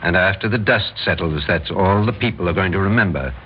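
A man's voice: low-pitched, brisk, close to an everyday voice. An actor playing a proud, needling older Major and father-in-law with an American accent, blustery and persuasive, in old-time radio drama style. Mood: insistent. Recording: dull, noisy old radio recording.

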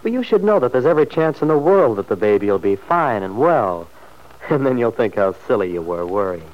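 An actor playing an earnest, apologetic married sergeant in an old radio soap, speaking in a hesitant, solicitous way. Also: male voice, mid-range voice, sing-song, a little fast, natural voice. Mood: concerned, gentle. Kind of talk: vintage radio soap opera acting. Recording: dull, noisy old radio recording.

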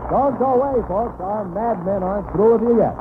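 A man announcing a radio show in a brisk, scripted style on an old broadcast. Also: high voice, phone-quality sound, slight background noise.

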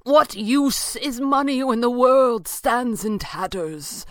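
A man's voice: on the high side, very animated, slow, sharp tone, no room sound, clean studio sound, voice pushed up, exaggerated voice. Man acting an impassioned, declamatory master magician. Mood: outraged. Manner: declamatory, ringing. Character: indignant, impassioned male master magician, grand and defiant. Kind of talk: dramatised pulp adventure audiobook.

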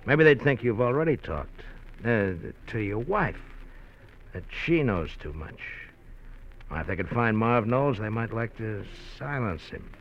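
An actor playing a sly, menacing gangster in an old radio crime drama, taunting with false friendliness. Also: male voice, on the low side, big pitch swings, unhurried. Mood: veiled menace. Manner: taunting, falsely friendly, chuckling between threats. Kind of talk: 1940s-50s radio crime drama acting. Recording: muffled, noisy old radio recording.

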